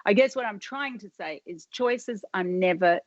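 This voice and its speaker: A woman's voice: medium pitch, normal speed, no room sound. Rambling middle-aged woman, muffled and dry. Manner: rambling, self-interrupting, many fillers. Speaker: podcast guest. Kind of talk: casual interview storytelling.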